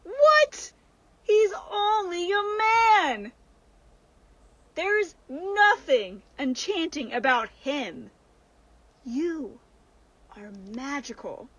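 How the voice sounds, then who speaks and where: A woman playing a sly, bossy seductress spirit in a radio horror drama, coaxing her friend with drawn-out, emphatic words. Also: very high-pitched, very animated, fairly bright tone, exaggerated voice, rough recording. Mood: exasperated.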